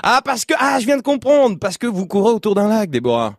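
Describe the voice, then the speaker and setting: A man's voice: brisk, vocal fry, no room sound, clean studio sound. A man hosting an evening call-in radio show, chatting and joking with a caller in a teasing way. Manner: jokey, teasing, bursts of high-pitched excitement. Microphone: studio microphone.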